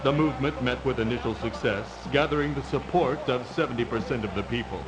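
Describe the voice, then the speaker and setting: A man narrating a video game story in a measured, formal, scripted storytelling style. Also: medium pitch, poor audio. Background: music.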